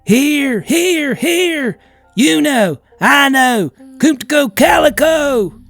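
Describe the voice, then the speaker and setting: High, hollering old backwoods man. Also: expressive, extremely slow, creaky, faint music behind, heavily acted. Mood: frantic calling. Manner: high, strained, loud hollering. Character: frightened old backwoods man living alone with dogs.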